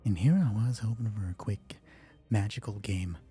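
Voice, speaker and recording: Covert squad operative, female played by a male game master: quiet, clipped, deadpan wit, dark husky tone for tabletop roleplay. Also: fairly low pitch, normal speed, faint music behind, voice pushed down. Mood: hushed, wry. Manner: hushed, clipped, dry.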